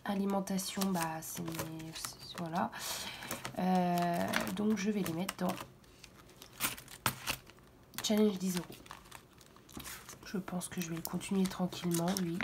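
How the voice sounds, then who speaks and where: A hoarse woman speaking softly and half-whispering at home in the early morning, hesitant and full of fillers as she walks through her weekly budget. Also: on the low side, fairly even intonation, unhurried, noisy background. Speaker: budget vlogger. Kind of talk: casual budget walkthrough.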